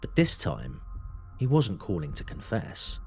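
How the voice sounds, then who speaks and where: A man narrating a scripted true crime documentary in a measured, dramatic storytelling manner. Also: low voice, wildly swooping pitch, vocal fry, over loud music.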